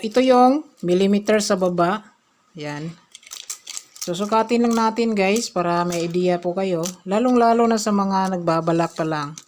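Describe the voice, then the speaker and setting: A woman with a fairly low voice presents an informal how-to. She talks haltingly, dropping short filler words between the steps. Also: darkish tone, slight background noise. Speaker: repair tutorial presenter. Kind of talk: informal hands-on tutorial.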